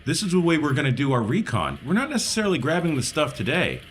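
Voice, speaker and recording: A man's voice: on the high side, wildly swooping pitch, quick pace, husky. A man playing a tabletop role-playing game, chatting casually and bantering in character.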